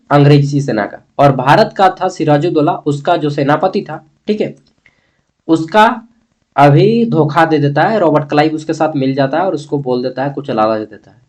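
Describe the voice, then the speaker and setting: Male history tutor, fairly high voice, Bihar-Jharkhand accent; colloquial, repeats key points, poses questions and answers them. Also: moderate pace, darkish tone. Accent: eastern Indian Hindi, Bihar-Jharkhand. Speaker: history teacher. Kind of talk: informal exam-prep lesson, explaining a text.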